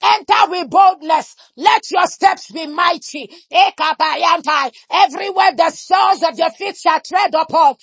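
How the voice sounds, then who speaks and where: A woman leading fervent shouted prayer, chanting repeated declarations in a rhythmic cadence and breaking into speaking in tongues. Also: extremely high pitch, measured pace, few pauses, no echo. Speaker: prayer leader.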